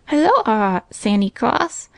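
An excited little boy from a storybook acted out by a woman. He speaks high and eagerly, bold and full of trust. The sound is dry and close. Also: normal speed, no room sound. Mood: nervous. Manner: eager, wide-eyed. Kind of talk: storybook character voice.

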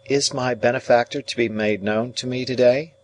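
Man playing meek, polite young gentleman, lifted pitch. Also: steady tone, normal speed, close to an everyday voice. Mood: tentative. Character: deferential, uneasy young gentleman, a ward. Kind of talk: audiobook character dialogue.

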